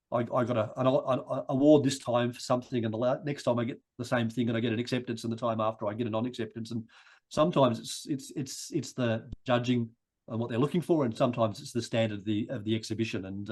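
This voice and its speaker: A man giving a rambling thank-you speech for a society, his voice croaky from a chest cold. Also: mid-range voice, a little mellow. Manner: rambling, many restarts and repeated words.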